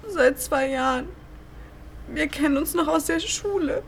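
A woman's voice: high-pitched, a little slow, a little hiss or hum. An actress playing a shaken, grieving young woman in a naturalistic crime drama. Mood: subdued grief. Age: young adult.

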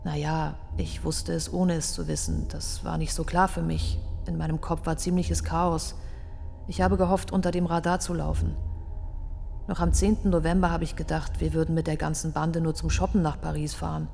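Male courtroom defendant, gravelly, evasive, muddled, theatrical, radio play, long reverb. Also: fairly high pitch, little pitch movement, moderate pace, darkish tone. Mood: confessional, muddled. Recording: reverberant hall, music underneath.

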